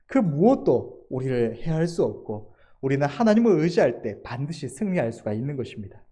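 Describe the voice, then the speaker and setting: Male preacher for a formal sermon: very animated intonation, soft-edged mellow timbre, earnest emphasis, falling sentence endings. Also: fairly high pitch. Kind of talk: sermon, formal scripted exposition.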